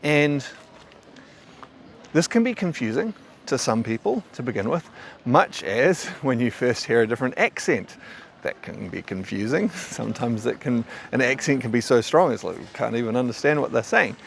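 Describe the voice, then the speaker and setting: A man's voice: mid-range voice, big pitch swings, normal speed, gravelly, slight background noise. A man with a mild New Zealand accent, a qigong teacher talking casually and thoughtfully to camera, often stammering over restarts. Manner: thoughtful, rambling, frequent stammered restarts and fillers.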